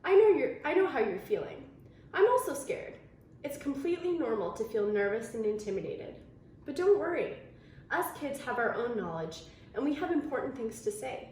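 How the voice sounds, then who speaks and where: Encouraging kid heroine read by a woman, storybook style, high lively voice, reverberant room. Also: moderate pace, far from the mic. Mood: reassuring. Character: friendly, confident girl, slightly older kid. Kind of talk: children's storybook read-aloud. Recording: very echoey room, faint noise.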